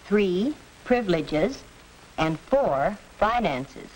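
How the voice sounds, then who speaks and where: Earnest 1940s American housewife, hissy film. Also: female voice, mid-range voice, very animated, low-quality recording. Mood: earnest, organizing. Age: middle-aged. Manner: measured, earnest delivery. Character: earnest, tired housewife and mother, middle-aged, patient organizer. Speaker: actor in an educational film. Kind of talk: 1940s educational film acting.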